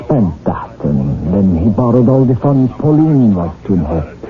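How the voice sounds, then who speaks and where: A guilt-ridden older professor in a 1970s radio drama. The voice is low and swings widely, theatrical, agitated and halting. It comes through thin and narrow, like an old broadcast. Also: male voice, sing-song, soft background music. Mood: sombre confessing. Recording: tinny, band-limited 1970s radio recording.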